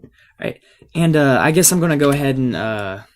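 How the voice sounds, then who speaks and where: A man with a cold and a slightly raspy voice gives a casual, unscripted software tutorial, hesitating and thinking aloud as he works. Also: medium pitch, lively intonation, a little fast. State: has a cold. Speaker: tutorial presenter.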